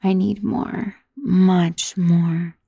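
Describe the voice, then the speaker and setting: A woman voicing an elegant, doting, possessive vampire noble in an intimate ASMR roleplay, soft and breathy, often slipping into whispers. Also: on the low side, flat delivery, very slow, heavily acted. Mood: savoring, hungry. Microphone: close studio mic.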